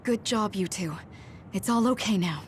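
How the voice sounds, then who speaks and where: A woman playing a tender, earnest, self-sacrificing mother in a video game, speaking in a measured way. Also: medium pitch, slight background noise, natural voice. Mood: reassuring. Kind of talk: English video game voice acting.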